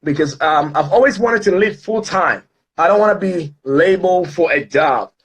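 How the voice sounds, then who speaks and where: A man's voice: high voice, normal speed, husky. A man from Ghana, speaking as a guest in an online interview in an upbeat, motivational way with many fillers.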